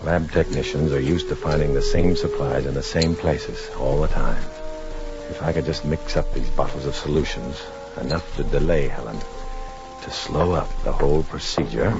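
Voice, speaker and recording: An actor playing a tense undercover infiltrator, narrating in a clipped, dramatic 1950s radio drama style. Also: male voice, extremely low pitch, normal speed. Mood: tense, hushed. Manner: clipped, dramatic, hard-boiled delivery. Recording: muffled 1950s radio recording with music.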